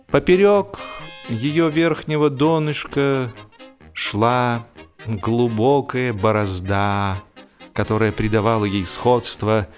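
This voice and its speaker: A man narrating a humorous literary story in a theatrical, expressive manner, with emphatic swells and deliberate pauses. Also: medium pitch. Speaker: audiobook narrator. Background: music.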